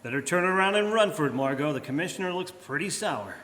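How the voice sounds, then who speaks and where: A man playing a calm, wry gentleman detective in an old-time radio drama, speaking with composure. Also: a little high, fast. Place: live performance in a church. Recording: echoey church hall.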